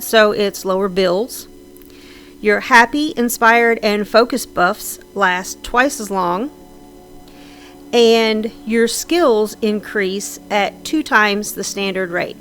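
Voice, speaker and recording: A woman's voice: on the high side, fairly bright tone. A woman with a slightly scratchy voice from sinus trouble, chatting casually and rambling with frequent ums and restarts over her game build. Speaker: Sims builder narrating a speed build.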